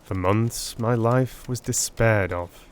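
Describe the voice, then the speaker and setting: A man playing a weary Victorian army surgeon recounting his memoirs in a measured, formal, theatrical audiobook reading. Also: on the low side, slight background noise. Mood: measured, grave. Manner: measured, formal, literary delivery. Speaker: audiobook narrator.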